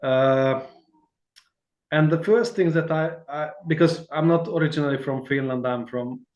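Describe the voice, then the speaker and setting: A man's voice: on the high side, measured pace, a little mellow, dull audio. Chatty man, roomy sound. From Hungary. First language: Hungarian. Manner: rambling and friendly, many fillers and restarts, laughs easily. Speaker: guitarist, interview guest. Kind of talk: casual interview chat.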